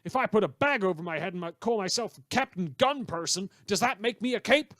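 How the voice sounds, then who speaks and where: A man's voice: high voice, animated, brisk, a bit bright, dry recording, clean studio sound, voice pushed up. A man voicing a disgruntled, blustering gun owner reading out an angry complaint letter in a radio satire. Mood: indignant, outraged. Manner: blustering, emphatic. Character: indignant, disgruntled male gun-owning listener writing in.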